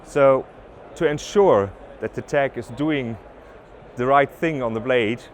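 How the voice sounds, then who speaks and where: A man with a German accent giving a trade-fair presentation as a business development manager, with halting phrasing, fillers and restarts. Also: medium pitch, slight background noise. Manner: slightly halting non-native phrasing with ums and restarts. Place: exhibition stand at an offshore wind event.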